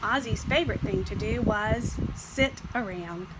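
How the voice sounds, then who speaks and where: A woman reading a children's picture book as scripted narration, with expressive, deliberate phrasing. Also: medium pitch, heavy background noise. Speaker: storybook reader.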